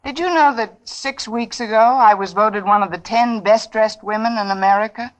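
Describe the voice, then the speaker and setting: A woman's voice: on the high side, normal speed, close to an everyday voice, poor audio. An actress playing a poised, sardonic career woman in her thirties, dry and polished, in 1940s radio drama style. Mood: cool, composed. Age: 30s. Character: poised, sardonic best-dressed career woman confessing to murder. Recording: old radio broadcast with music underneath.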